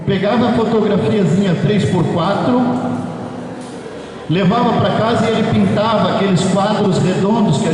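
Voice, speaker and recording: A man's voice: sits high, a little mellow. A man with a southern Brazilian accent gives an informal history lecture to school students, in a colloquial storytelling manner. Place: large echoey room at a school. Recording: echoey hall from far off, background noise.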